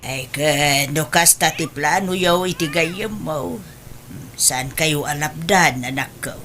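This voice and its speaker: A low female voice from an actress plays an older mother who frets with care and doubt. The audio-drama recording has clear background noise. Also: slow, fairly bright tone. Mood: worried, caring. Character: worried, caring older mother.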